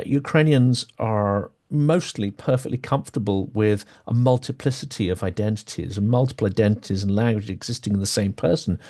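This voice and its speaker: A man's voice: fairly low pitch, darkish tone. A male host in a conversational interview, building his points thoughtfully and ending them with tag questions.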